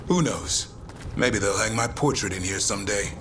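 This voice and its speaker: An actor playing a stoic, dryly fatalistic warrior warden in a fantasy video game. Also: male voice, on the low side, normal speed, background noise, close to an everyday voice. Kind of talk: dramatic fantasy game acting. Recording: game music behind.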